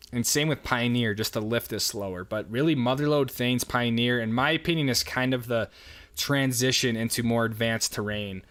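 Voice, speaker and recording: A young man with a New York accent, casually answering viewer questions on a livestream with a relaxed, rambling manner and frequent fillers. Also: mid-range voice. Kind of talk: casual live chat.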